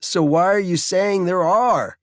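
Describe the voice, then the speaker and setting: Audiobook role: adult male as stoned teen boy, guarded, careful enunciation, pitched well up. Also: no room sound, studio quality. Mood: guarded, slow. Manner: slow, deliberate enunciation. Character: stoned, scruffy teenage boy, slow and guarded.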